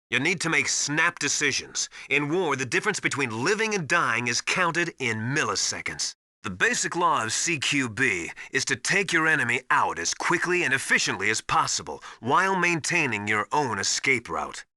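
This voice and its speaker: An actor playing a stern veteran military survival instructor in a video game, briskly lecturing with emphatic, commanding authority. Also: male voice, medium pitch, bright, forceful tone, no echo, clean studio sound. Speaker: mission survival adviser. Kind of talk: video game voice acting, instructional radio briefings.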